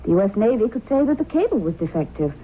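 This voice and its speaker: An actress playing a poised, inquisitive scriptwriter and sensible wife in a vintage radio drama, polite and direct. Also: female voice, mid-range voice, sing-song, fast, natural voice. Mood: brisk, sensible. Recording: tinny, noisy old radio recording.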